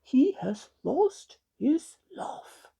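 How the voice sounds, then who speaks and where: Woman as a slow, solemn old pixie fiddler. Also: sits high, sing-song, dark tone, husky, pitched above the performer's own voice, exaggerated voice. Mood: grave. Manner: slow, grave, deliberate.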